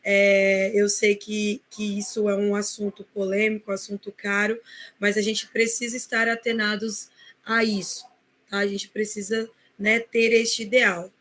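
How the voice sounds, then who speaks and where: A woman's voice: medium pitch, little pitch movement, a little slow, fairly bright tone. A Brazilian woman moderating a live online panel informally, a little hesitant, repeating words and often checking in with short tags.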